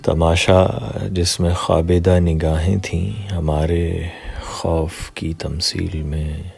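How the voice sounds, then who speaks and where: A man reciting poetry in a measured, deliberate, dramatic way, pausing between short phrases. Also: sits low, flat delivery, creaky, darkish tone, breathy edge, soft background music.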